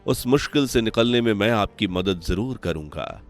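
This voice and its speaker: A man voicing an old wandering holy man in an animated story, humble, solemn and measured. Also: fairly low pitch, faint music behind. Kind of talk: animated moral story voice acting.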